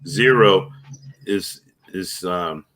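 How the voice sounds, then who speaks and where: A man's voice: sits low, drawn-out words, a bit bright, slight background noise. A man in casual chat, talking emphatically and swearing freely.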